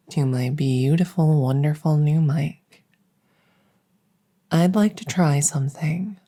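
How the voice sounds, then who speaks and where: A woman speaking gently in a soft ASMR style, close to the mic, sometimes dropping into a whisper. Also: sits low. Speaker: audio roleplay creator. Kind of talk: soft-spoken ASMR introduction. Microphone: close stereo condenser mic.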